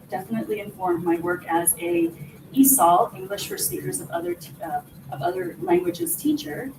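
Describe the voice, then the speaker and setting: A woman in her forties from Peru, raised in the US, presents her research in an earnest, explanatory way, her voice pitched slightly high. The recording comes from a roomy conference hall. The mic sits well away from her, and steady background noise runs under her speech. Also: far from the mic. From born in Peru, raised in the US. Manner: earnest, explanatory, builds points step by step. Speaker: assistant professor presenting research.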